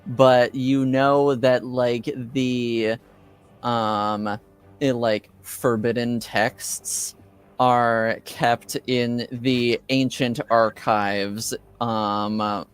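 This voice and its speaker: A man narrates and referees a tabletop roleplaying game. His delivery is slow and halting, often searching for the next word. The voice is smooth and clear, with soft music behind it. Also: mid-range voice, little pitch movement. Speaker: game master.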